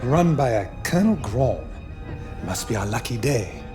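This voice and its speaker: Wry sci-fi game officer: medium pitch, dry delivery, music clearly underneath. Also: male voice, big pitch swings, a little slow. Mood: wry, tense. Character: wry male Imperial junior officer reporting to captain. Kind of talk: video game cutscene acting.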